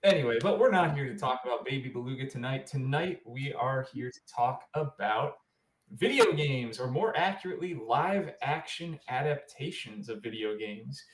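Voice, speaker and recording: A man's voice: mid-range voice, expressive, moderate pace. Man hosting a podcast in an echoey room. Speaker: podcast host. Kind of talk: casual podcast chat. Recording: roomy, echoing room sound.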